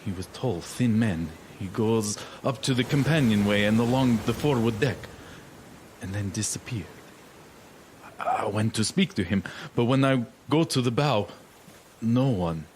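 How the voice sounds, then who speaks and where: An actor playing a haunted, superstitious ship's mate in a gothic audio drama, intense and halting. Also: male voice, lowish voice, a little mellow, a little hiss or hum, close to an everyday voice. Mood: uneasy, insistent.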